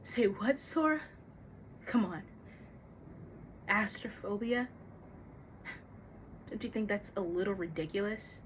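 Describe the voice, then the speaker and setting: A woman performing a theatrical monologue as an anxious, fearful young woman, confiding haltingly with dramatic pauses. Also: medium pitch, lively intonation, slight background noise. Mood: hesitant, confiding. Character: anxious young woman confessing a terror of thunderstorms.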